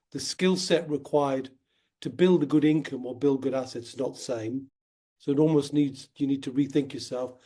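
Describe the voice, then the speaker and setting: A man talks through his ideas in an earnest, steady interview manner. His voice is dark and mellow, with a slight croak left over from a cold. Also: medium pitch. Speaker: guest, wealth company founder. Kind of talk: conversational interview.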